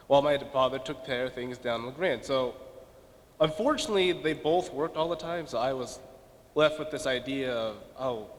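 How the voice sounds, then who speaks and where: Joking young man speaking in a hall. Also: mid-range voice. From Las Vegas, Nevada. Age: young adult. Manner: self-deprecating, joking asides. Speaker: student speaker. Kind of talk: speech at a donor event. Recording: reverberant hall.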